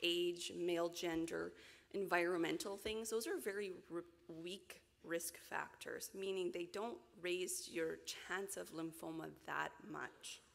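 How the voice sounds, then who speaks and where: A woman in her forties with a raspy voice today, giving a measured conference lecture from a podium with frequent ums and restarts. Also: lowish voice, steady tone. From Canada. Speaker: physician lecturer. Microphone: podium microphone. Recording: reverberant hall, from camera.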